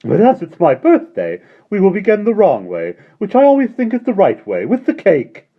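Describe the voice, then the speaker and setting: A man doing a jolly old gentleman, high and bubbly. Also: huge pitch swings, normal speed, full character voice. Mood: cheerful. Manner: exclaiming, breathless with mirth. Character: jolly old gentleman, giggly and warm-hearted. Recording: dull lo-fi recording.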